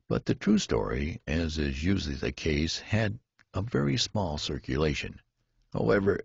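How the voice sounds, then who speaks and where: A man's voice: extremely low pitch, creaky, husky, no room sound, lo-fi sound. A man reading a literary story aloud as scripted audiobook narration. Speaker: audiobook reader.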